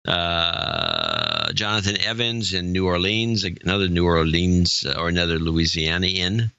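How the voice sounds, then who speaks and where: A man reads donor names in a slow, unhurried way, his low voice carrying a bright, forward edge. He stops to puzzle over pronunciations and throws in quick jokes. The sound is dry and a little dull. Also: very animated, creaky, smooth, no echo. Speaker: podcast co-host reading donor names. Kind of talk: casual chat.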